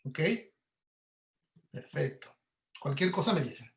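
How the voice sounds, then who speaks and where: A man giving an informal webinar lecture, halting and often checking that listeners follow. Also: medium pitch. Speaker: audio producer giving a talk. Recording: roomy, echoey webinar audio.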